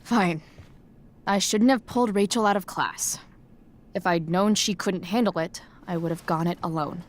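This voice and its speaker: A woman's voice: on the low side, normal speed, slight background noise, lightly acted. An actress playing a rebellious teenage girl, blunt, biting and sarcastic, in a video game drama. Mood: bitter, sarcastic. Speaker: voice actor.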